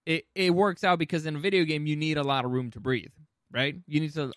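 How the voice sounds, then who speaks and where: Higher-voiced man in casual, opinionated chat. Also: steady tone, a little fast, fairly bright tone, no echo, studio quality. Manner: opinionated, profane. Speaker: podcast co-host. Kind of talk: casual chat about film and game adaptations.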